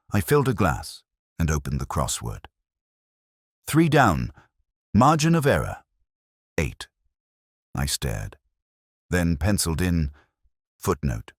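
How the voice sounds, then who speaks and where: A man playing a middle-aged detective who narrates a mystery in a measured, wry, reflective and literary manner. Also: very deep voice, husky, no room sound, studio quality, exaggerated voice. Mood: melancholy, musing. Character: world-weary, wry, literary English male detective narrating his case. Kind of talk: scripted first-person mystery narration.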